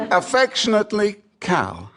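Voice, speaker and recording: A literary man of letters in a radio play. His voice sits high and moves animatedly up and down. He is chatty, wry and admiring as he reads to a friend. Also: fairly bright tone, heavily acted. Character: witty, admiring male American poet writing to a friend. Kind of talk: radio theatre, reading letters aloud.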